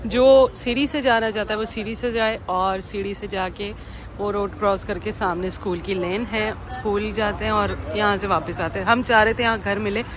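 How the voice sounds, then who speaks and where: Chatty woman vlogging amid loud crowd. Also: medium pitch, steady tone, normal speed, noisy background. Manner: chatty, explaining to viewers. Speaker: vlogger, host. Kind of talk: casual vlog narration and chat. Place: outdoor cafe terrace and street at night.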